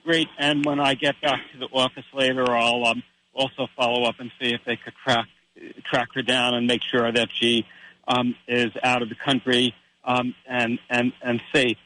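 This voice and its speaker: An American man hosting a radio interview, speaking haltingly with many pauses. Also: mid-range voice, flat delivery, pushed and bright, slight background noise, low-quality recording. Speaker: radio host.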